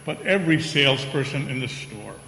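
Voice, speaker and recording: Man playing a forceful store tycoon, bright voice. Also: mid-range voice, expressive, brisk, vocal fry, slight background noise. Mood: brisk, emphatic. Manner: emphatic, decisive. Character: brisk, commanding male department-store tycoon. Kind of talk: old-time radio play acting. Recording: echoey reverberant hall.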